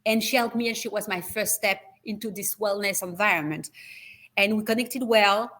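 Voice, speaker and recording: A woman's voice: medium pitch, moderate pace, fairly bright tone. A woman with a French accent, a guest talking in a casual interview over a video call, hesitant with many fillers and restarts. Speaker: guest, wellness retreat founder.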